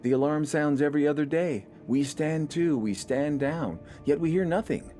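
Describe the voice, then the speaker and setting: A man's voice: on the high side, moderate pace, mellow, faint music behind, voice pushed up, natural voice. A man voicing a skeptical, anxious young village militiaman who questions everything in an audio story. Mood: doubtful, frustrated. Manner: questioning, doubtful.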